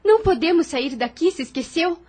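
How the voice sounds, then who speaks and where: A woman's voice: very high voice, expressive, fairly quick, darkish tone. A woman voicing a firm, worried young sister who questions her brother insistently in an audio drama.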